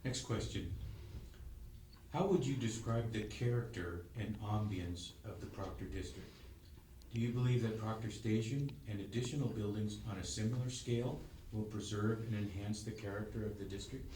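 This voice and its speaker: A man acting as interviewer, reading prepared questions in a brisk, businesslike way. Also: on the low side, dark tone. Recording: roomy, distant mic with faint noise.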